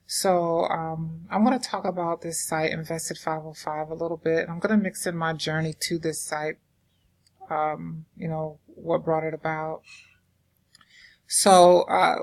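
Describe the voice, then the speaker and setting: A woman with a low voice and a Southern American drawl talks through her screen in a loose, rambling way, full of fillers and restarts. She sounds informal and unscripted, close to a desktop mic. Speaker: crypto investing vlogger. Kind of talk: casual screen-share walkthrough. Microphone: desktop microphone.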